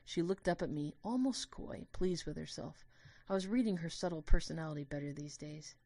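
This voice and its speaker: A woman narrates as a lovestruck young student in a first-person audio drama. The voice is deep for a woman and recorded very dry. The storytelling is earnest and expressive, weighed with guilt. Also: fairly quick, no echo. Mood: fond.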